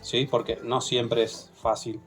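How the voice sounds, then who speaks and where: A man's voice: mid-range voice, little pitch movement, measured pace, music in the background. A man with an Argentine accent leading a hands-on plant propagation workshop, patient and often checking in with the group. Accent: Argentine Spanish. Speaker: workshop instructor.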